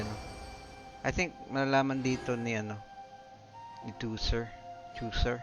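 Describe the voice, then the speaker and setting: A male game streamer with a Filipino accent. His low voice stays flat as he comments casually. Loud game music plays behind him. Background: game music, keyboard typing.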